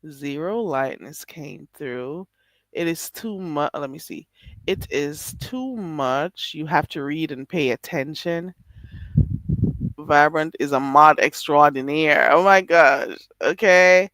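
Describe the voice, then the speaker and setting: A woman's voice: low-pitched, slow, fairly bright tone, no room sound, low-quality recording. A woman live streaming late at night, chatting casually with her viewers and reading their comments aloud. She is a little stuffy and nasal, laughs often and keeps calling people "child".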